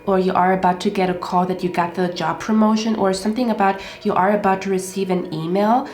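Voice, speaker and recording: Woman tarot reader with a stuffed-up nose, repetitive and reassuring, frequent "okay?" check-ins, echoey room with soft music. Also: medium pitch, fairly even intonation, fairly quick. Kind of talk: casual tarot reading monologue. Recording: roomy echo with faint music.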